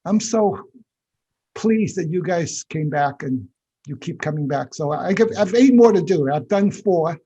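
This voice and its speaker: A high, husky male voice comes through a dull, lo-fi video call. He runs a casual online class, chatty and laughing a lot, tripping over his restarts. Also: animated, unhurried, warm tone. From Boston area. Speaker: lecturer hosting a finance session.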